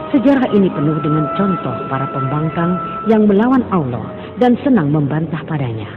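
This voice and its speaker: Measured male storyteller, narrow phone-like audio, heavy music bed. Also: a little high. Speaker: narrator. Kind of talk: scripted storytelling narration. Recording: phone-like sound under loud music.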